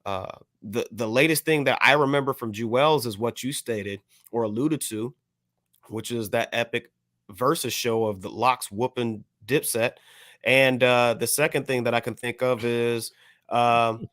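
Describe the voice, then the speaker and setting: A man's voice: mid-range voice, fairly bright tone, no echo, studio quality. A man on a podcast panel giving opinions in casual discussion, picking his words carefully with hesitant phrasing.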